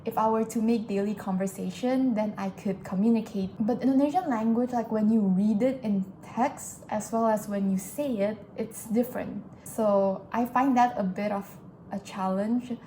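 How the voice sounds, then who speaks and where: A woman's voice: mid-range voice, normal speed, dark tone, slight background noise. A young woman vlogging in a casual, chatty way, explaining things with lots of "like" fillers. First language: English. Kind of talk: casual vlog diary.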